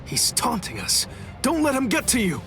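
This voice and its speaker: A wry anime sword captain. His mid-pitched voice is creaky and lively in range, dryly drawling over clear background music. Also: normal speed, full character voice. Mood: stern, urgent. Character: laid-back, wry male sword-fighting captain. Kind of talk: anime game dub acting. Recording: music behind the voice.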